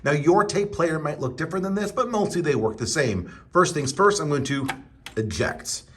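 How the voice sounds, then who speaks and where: A man's voice: fairly high pitch, lively intonation, normal speed, fairly bright tone, slight background noise. A man instructing in a casual, conversational tutorial, chatty and playful, speaking straight to the viewer and voicing their imagined questions. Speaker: instructor presenting a how-to video.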